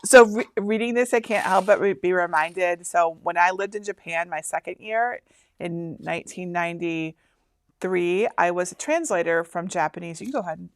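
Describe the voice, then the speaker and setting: A woman's voice: on the low side, normal speed, no room sound. Chatty woman in her fifties, dry sound. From Michigan, USA. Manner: chatty, rambling, laughs often. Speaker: host. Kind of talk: casual live-stream chat.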